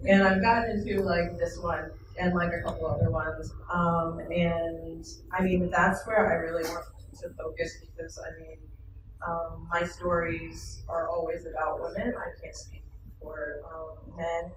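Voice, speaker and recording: A slow, hesitant speaker talks in a casual interview and fills gaps with fillers. The voice sits back from the mic. The room is echoey, with loud ambient noise behind it. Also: mid-range voice, flat delivery. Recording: echoey, distant, noisy room.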